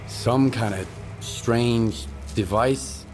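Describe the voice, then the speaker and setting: A man's voice: medium pitch, very slow, over music, rough recording. A man playing a terse, tough wasteland mercenary in a video game, matter-of-fact in manner. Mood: cool, businesslike. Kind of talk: video game cutscene acting.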